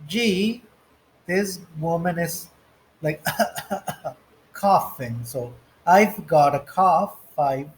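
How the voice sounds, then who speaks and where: A man's voice: a little high, drawn-out words, pauses often, rough recording. A man teaching an English lesson, going through textbook exercises step by step and reading the example phrases aloud.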